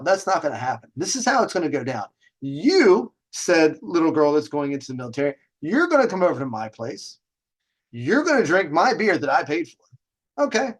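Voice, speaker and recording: A man's voice: a little high, husky. A man hosting a dating advice show, telling stories casually in a brash, emphatic way, drawing out stressed words and throwing in rhetorical questions. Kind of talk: casual storytelling monologue with advice to viewers.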